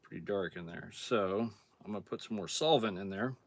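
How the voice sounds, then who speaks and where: Deep-voiced man host, hoarse, laid-back workshop demo. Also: fairly quick. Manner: relaxed, plain-spoken, thinking aloud while working. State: hoarse, clearing throat. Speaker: outdoors channel host. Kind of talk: casual hands-on demonstration.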